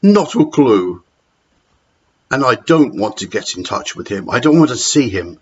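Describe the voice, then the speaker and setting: A man's voice: mid-range voice, sing-song, a little slow, husky. Matron, a man doing a sneering cruel matron. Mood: harsh. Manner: sneering and scornful. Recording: dull lo-fi recording.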